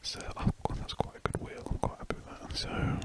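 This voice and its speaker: An adult whispering casual updates in ASMR style while drawing, rambling and often restarting sentences. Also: fairly quick. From United Kingdom. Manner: soft rambling whisper with frequent restarts and self-corrections. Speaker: ASMR artist.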